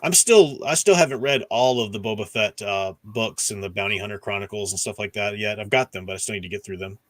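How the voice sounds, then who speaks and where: A man's voice: lowish voice, fast talker, a bit bright, no room sound. A man hosting a livestream, casually discussing books and reading out viewer comments with an enthusiastic fan's rambling asides. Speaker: livestream host.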